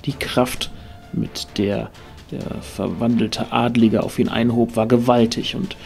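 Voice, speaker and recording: Male fantasy audio drama narrator, medium pitch. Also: fairly even intonation, takes it slowly, gravelly, darkish tone, faint music behind.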